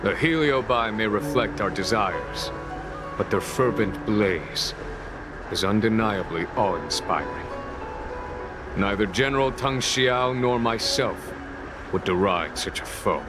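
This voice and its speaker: Slow, low-voiced composed general. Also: male voice. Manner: measured, formal, unhurried. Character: calm, composed, wise male general. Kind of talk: video game voice acting. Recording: music under the voice.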